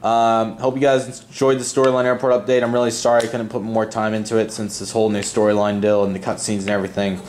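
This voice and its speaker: A man's voice: on the low side, flat delivery, fast talker, few pauses, a little mellow, slight background noise. A man hosting a hobby video channel, talking in a casual, earnest, rambling unscripted style. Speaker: host of a model airport video channel. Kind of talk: casual unscripted vlog voice-over.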